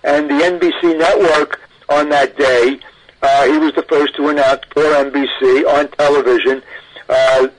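A man's voice: on the high side, fairly even intonation, normal speed, low-quality recording. An older man recalls things in a radio interview, talkative and emphatic, often interrupting himself. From Brooklyn, New York. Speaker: interview guest, audio archivist. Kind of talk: animated personal recollection in a radio interview.

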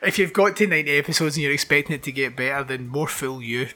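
Very bright-voiced teasing podcast host. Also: male voice, a little high, faint music behind. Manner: teasing, quick asides, laughing. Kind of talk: casual chat.

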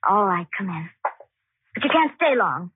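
A woman's voice: mid-range voice, big pitch swings, moderate pace, close to an everyday voice. Tinny actress as a cold, sarcastic young woman. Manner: clipped, sarcastic. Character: cold, hurt young woman spurning her boyfriend. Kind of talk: 1940s radio acting. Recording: tinny, noisy 1940s radio recording.

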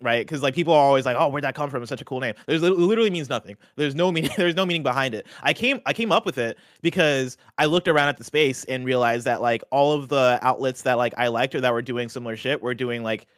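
A young man co-hosting a casual gaming show, joking and bantering with quick, animated delivery. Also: mid-range voice, no room sound, clean studio sound. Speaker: podcast co-host. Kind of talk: casual chat.

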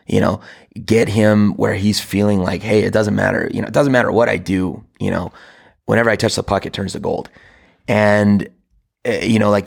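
Man, hoarse and gravelly, rambling coaching advice, low voice. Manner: rambling, with many fillers and restarts. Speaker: hockey coach and podcast host. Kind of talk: casual advice talk.

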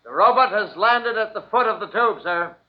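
A brisk military subordinate, formal and dutiful. The voice is high and barking, set in a muffled radio drama recording with clear noise. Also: male voice, animated. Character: brisk, dutiful soldier reporting to his colonel. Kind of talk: 1950s radio drama acting.

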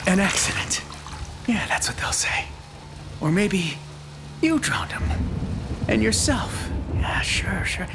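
A man playing a menacing, unhinged schemer in an audio horror drama, muttering in a creaky, half-whispered voice. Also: high voice, big pitch swings, takes it slowly, long pauses, exaggerated voice. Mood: menacing, plotting. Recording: noisy storm with rain and thunder.

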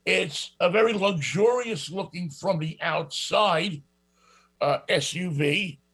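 A man's voice: sits high, slow, a bit bright, slightly rough. A middle-aged man with a New York accent, hosting a radio show and reviewing a car in a rambling, casual way with lots of fillers. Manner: rambling, many fillers and restarts.

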